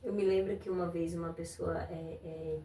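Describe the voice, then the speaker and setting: A woman from Brazil hosting a religious interview podcast, affirming her guest with frequent amens and gently preaching. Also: on the low side, fairly even intonation, normal speed. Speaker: podcast host. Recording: echoey room, voice a bit far from mic, faint noise.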